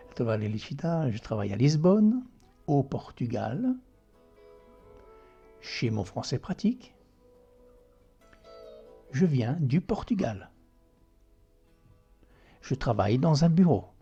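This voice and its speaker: A man's voice: mid-range voice, big pitch swings, takes it slowly, gravelly, long pauses, dark tone, husky, muffled recording, soft background music. A man teaching a grammar lesson, patiently repeating examples and checking in with "ok?" as he goes. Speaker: French teacher.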